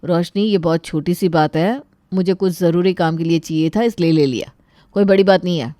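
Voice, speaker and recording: A woman's voice: fairly low pitch, a little fast, dark tone, no echo, studio quality, close to an everyday voice. A woman voicing a casual, dismissive young husband in a story. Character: dismissive young husband brushing off his wife.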